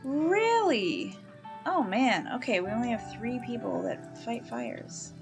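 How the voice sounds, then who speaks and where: Slow, chatty woman gamer from Canada. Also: fairly high pitch, big pitch swings, creaky, music in the background. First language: English. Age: middle-aged. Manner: chatty, thinks aloud, coos at baby animals. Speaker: let's play host. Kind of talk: casual gameplay commentary.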